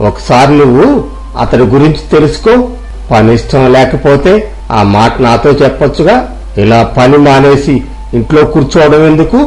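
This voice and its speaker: Man acting an authoritative village elder, medium pitch. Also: normal speed, darkish tone, soft background music, natural voice, rough recording. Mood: stern, annoyed. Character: dutiful, kindly male village elder and employer. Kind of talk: acted Telugu moral story.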